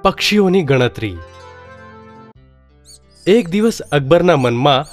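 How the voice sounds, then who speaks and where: Man storyteller, steady plain delivery, children's story, soft music behind. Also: on the high side, slow pace. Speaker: narrator. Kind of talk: scripted children's story narration.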